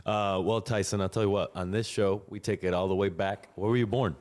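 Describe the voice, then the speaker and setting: A man hosting a casual interview, relaxed and quick to agree and laugh along. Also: fairly low pitch. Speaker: podcast host.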